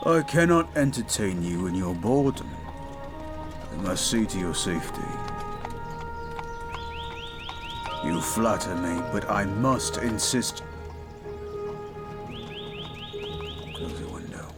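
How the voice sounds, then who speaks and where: A man voicing a towering male goliath bodyguard who is stoic, dutiful and protective, speaking in a formal, measured, commanding way in an audio roleplay. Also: fairly low pitch, lively intonation, over loud music, close to an everyday voice. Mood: stern.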